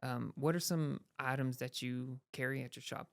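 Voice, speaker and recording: A woman hosts a relaxed podcast chat, with a smooth, clean voice at an ordinary pitch. She chatters freely, dropping plenty of likes and yeahs. The mic is close and dry. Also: normal speed, no echo. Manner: chatty, says yeah and like a lot. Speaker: podcast host. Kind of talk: casual interview chat.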